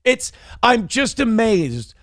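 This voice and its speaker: A man's voice: very high voice, very animated, slow pace, no echo, studio quality. A man hosting a morning show, bantering casually and profanely, rambling and exasperated as he reads out donor messages.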